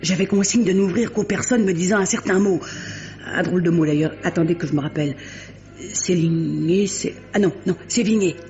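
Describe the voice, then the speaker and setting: An actress playing a garrulous, working-class Parisian theatre usherette in a 1950s-style French radio mystery, talking colloquially and groping for words. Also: female voice, lowish voice, fairly quick, darkish tone, lightly acted. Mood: indignant, gossipy. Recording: dull vintage radio recording with music.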